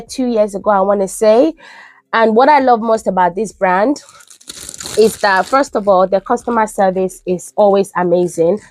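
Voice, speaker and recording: A woman's voice: medium pitch, darkish tone, dry recording. A young woman who sounds nasal from a cold, chatting enthusiastically as a beauty vlogger reviewing products. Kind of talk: casual product review vlog. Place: UK home.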